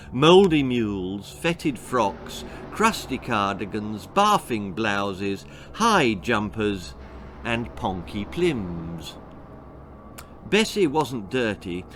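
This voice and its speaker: A man with a British accent reading a children's story theatrically, relishing each word with dramatic pauses. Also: mid-range voice, fairly bright tone, a little hiss or hum. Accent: British English. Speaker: author reading own story.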